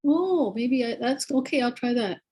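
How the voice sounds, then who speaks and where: A woman's voice: fairly high pitch, lively intonation, moderate pace, a little mellow. A woman joining a class by video call, answering briefly and agreeably. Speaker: class participant.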